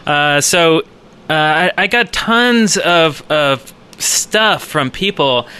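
A man's voice: fairly high pitch, animated, slow pace, bright, forceful tone, clear, resonant tone. A man co-hosting a podcast, chatting casually about games in a rambling, enthusiastic way. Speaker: podcast co-host. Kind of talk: casual gaming chat.

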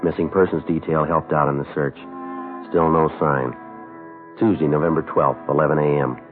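An actor playing a stoic, businesslike police sergeant in a 1950s radio drama, speaking in a terse, clipped, matter-of-fact way. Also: male voice, extremely low pitch, steady tone, moderate pace. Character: stoic, businesslike male police sergeant narrating his case. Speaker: narrator and lead detective. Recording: muffled old radio broadcast, music.

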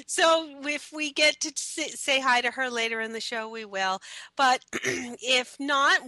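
A woman co-hosting a talk radio show, slightly raspy and hoarse from a cold, chatting casually with many ums and restarts. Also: mid-range voice, pushed and bright, no echo, low-quality recording. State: hoarse from the tail end of a cold.

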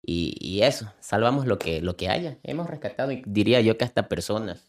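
A man's voice: lowish voice, expressive, brisk. A young man from Ecuador, who rescues animals. He tells his story in an earnest, heartfelt way and often repeats words when he is moved. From Ecuador, coastal Manta. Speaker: animal rescuer and content creator. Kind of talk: personal storytelling in an interview.

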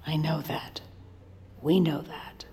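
An actress playing an anxious, protective middle-aged mother in an audio drama, hushed, soothing and earnest. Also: sits low, little pitch movement, slow, creaky, heavily acted. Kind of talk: naturalistic audio drama acting. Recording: long reverberant hall echo.

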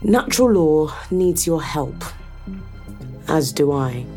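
A commanding woman in a science fiction audio drama. Her voice is on the low side and her delivery is direct and cutting, with faint music underneath. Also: expressive, slow, warm tone. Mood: blunt, urgent. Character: blunt, commanding woman seeking help. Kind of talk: sci-fi audio drama acting.

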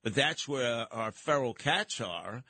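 A man's voice: mid-range voice, animated, slow, bright, forceful tone, no room sound. A man with a New York accent hosting live call-in talk radio, talking in an emphatic, theatrical, rambling way. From New York City. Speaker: radio talk show host.

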